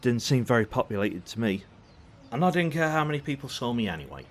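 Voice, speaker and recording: A man's voice: mid-range voice, sing-song, fast, slight background noise, lightly acted. An actor playing a smug, cocky male outlaw in an audio drama, teasing and self-satisfied.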